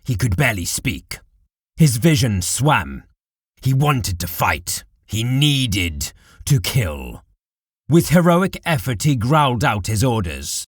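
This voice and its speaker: A man narrating dark war fiction as a scripted audiobook, in a deliberate, dramatic manner. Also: medium pitch, very animated, breathy edge, no echo, studio quality.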